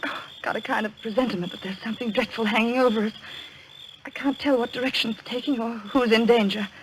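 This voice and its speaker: A woman's voice: on the high side, steady tone, fast, close to an everyday voice. Woman acting an anxious, earnest young niece. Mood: foreboding. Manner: earnest, dramatic. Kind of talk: old-time radio drama acting. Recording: noisy old radio recording.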